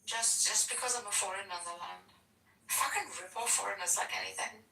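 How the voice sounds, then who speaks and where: A woman's voice: medium pitch, brisk, gravelly, bright, forceful tone, recorded from a distance. A woman in casual chat, telling stories bluntly and swearing freely. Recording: roomy echo, off the mic.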